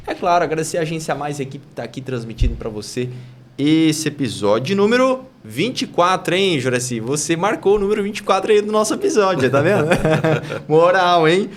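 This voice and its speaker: A man hosting a casual podcast interview, chatty and upbeat, running through sponsor thanks and warmly drawing out his guest. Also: a little high. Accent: Brazilian Portuguese. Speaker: podcast host.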